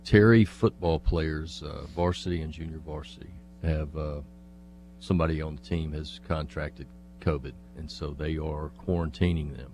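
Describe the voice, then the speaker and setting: A man co-hosting a casual radio talk show, speaking in a halting, matter-of-fact way. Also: a bass voice, fairly even intonation, over music.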